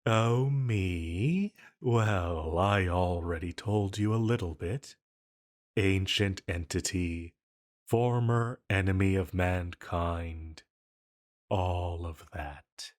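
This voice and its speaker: A man voices an ancient, banished male entity in an intimate audio drama. His voice sits low and he takes his time over every phrase. He is flirty and a little self-conscious, a lonely villain who hesitates as he muses. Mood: hesitant, vulnerable. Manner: flirtatious, hesitant, unhurried musing. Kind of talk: intimate scripted roleplay audio.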